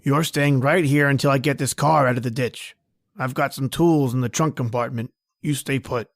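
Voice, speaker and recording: A cold, controlling killer on the run played by a male actor in a vintage radio thriller. His voice is mid-pitched, and he talks in terse, tough-guy phrases with quiet menace. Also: steady tone, normal speed, close to an everyday voice. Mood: brusque. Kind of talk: old-time radio crime drama acting.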